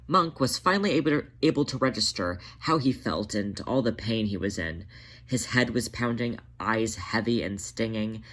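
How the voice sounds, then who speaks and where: A man's voice: mid-range voice, a bit bright. A man hosting a fan fiction reading, narrating a scripted story aloud. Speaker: fan fiction author and podfic reader, host.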